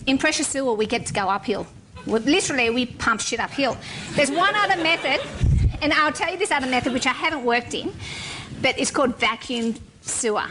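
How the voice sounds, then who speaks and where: Woman, middle-aged, fairly high voice, blunt and joking, faint room hiss. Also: animated, a little fast. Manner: frank and wry, with blunt humor. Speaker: civil engineer giving a talk. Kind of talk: informal talk to an audience.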